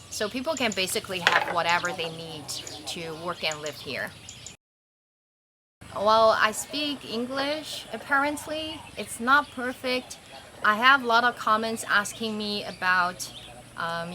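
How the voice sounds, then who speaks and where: A young woman from China, a native Chinese speaker, chatting to camera in English with a relaxed, talkative manner and some grammar slips. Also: medium pitch, noisy background. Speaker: vlogger. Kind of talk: casual talk to camera. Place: rural farm in Thailand.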